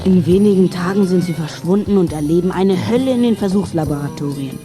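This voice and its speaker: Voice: medium pitch, brisk, over music, natural voice. A performer playing a hot-headed, indignant young detective in a children's radio play. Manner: indignant, impulsive.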